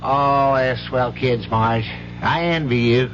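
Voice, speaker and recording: An actor playing a hearty, affectionate uncle with effusive, joking speech in a radio drama. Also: male voice, mid-range voice, slow, close to an everyday voice. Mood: wistful. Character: hearty, jovial, flattering bachelor uncle. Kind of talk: 1970s radio drama acting. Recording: dull, noisy old radio broadcast.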